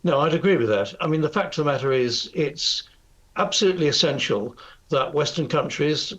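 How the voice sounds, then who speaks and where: An older man with a British accent giving political commentary as an interview guest, formal, measured and hesitant. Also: a little high, animated, fairly bright tone. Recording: slightly dull, lo-fi broadcast audio.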